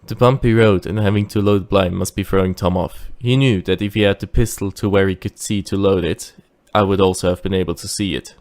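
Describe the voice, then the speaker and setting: A man playing a calm, shady driver who narrates his own tale in an audio drama. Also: sits low, brisk, hardly any pauses. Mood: measured, wry. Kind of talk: scripted first-person audio drama narration.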